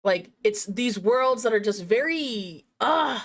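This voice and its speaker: A woman's voice: a little high, lively intonation, slow pace. A woman hosting a game livestream, chatting casually and playfully to herself and her viewers in a giggly manner. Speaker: game streamer, host.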